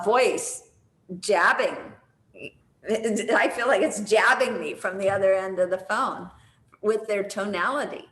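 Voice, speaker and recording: A woman who speaks slowly and thoughtfully on a video call. Her voice sits at a middle pitch and carries a roomy echo. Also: fairly bright tone. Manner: measured, reflective. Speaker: conversation circle participant. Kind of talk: thoughtful sharing.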